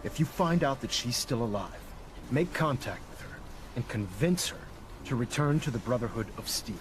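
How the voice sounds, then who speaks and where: A man playing a stern, zealous military leader in a video game, speaking in a formal, authoritative, deliberate way. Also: mid-range voice, lively intonation, lightly acted. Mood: grave, firm. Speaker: military commander briefing a soldier. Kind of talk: video game cutscene acting. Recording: noisy game audio with engine ambience.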